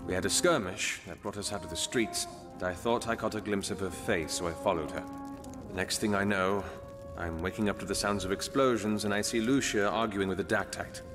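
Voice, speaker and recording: A male actor as a weary fantasy-game investigator with a fairly low voice. He is guarded and defensive, speaking tersely in a long hall reverb with music behind him. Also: fairly quick, close to an everyday voice. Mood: weary recounting. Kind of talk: dramatic video game voice acting.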